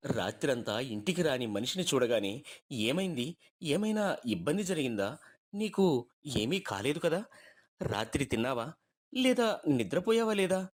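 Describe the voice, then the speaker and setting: A man's voice: medium pitch, sing-song, normal speed, long pauses. A man voicing an assertive village husband with a blunt, lecturing manner in a moral story. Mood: scolding.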